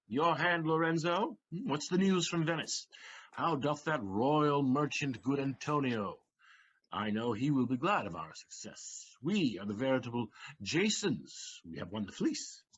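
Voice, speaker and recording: An actor playing a boisterous, jovial gentleman in a Shakespearean audio play, hearty and theatrical, on a muffled recording. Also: fairly high pitch, big pitch swings, measured pace, breathy edge, exaggerated voice. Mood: jovial, boastful. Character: boisterous, jovial gentleman friend, proud of his success.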